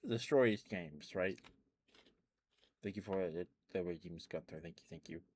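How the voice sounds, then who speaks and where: A man's voice: deep voice, moderate pace, a little mellow. A man streaming ASMR, rambling casually to live viewers with frequent ums and little laughs. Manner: meandering, chatty, lots of ums and little laughs.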